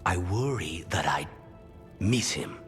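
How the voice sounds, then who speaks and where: An actor playing a brooding, demon-possessed assassin in a video game, grave and measured, his phrases sometimes falling hushed. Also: low voice, lively intonation, faint music behind, heavily acted. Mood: troubled. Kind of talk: video game cutscene acting.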